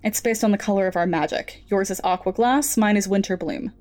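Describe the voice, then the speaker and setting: A cool woman in charge of a lab played by an actress for a drama podcast. She speaks formally, with clinical composure, at an ordinary female pitch. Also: a little fast, a little mellow, lightly acted. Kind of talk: audio drama acting.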